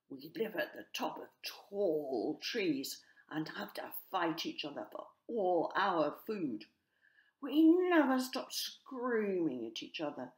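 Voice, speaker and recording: A woman's voice: fairly low pitch, heavily acted. A woman voicing a greedy, bitter male rook in a children's storybook, scornful, complaining and emphatic. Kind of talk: children's storybook character reading.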